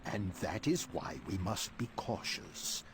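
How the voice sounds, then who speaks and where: A commanding older mutant leader in a video game. His mid-pitched voice moves widely and theatrically, formal, stern and imperious, over faint noise. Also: animated. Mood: grave. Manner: formal, measured authority.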